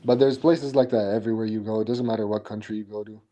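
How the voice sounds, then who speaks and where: A man's voice: lowish voice, steady tone, fast talker, mellow. A man chatting casually on a live stream, blunt and opinionated, often restarting his sentences. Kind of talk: casual chat.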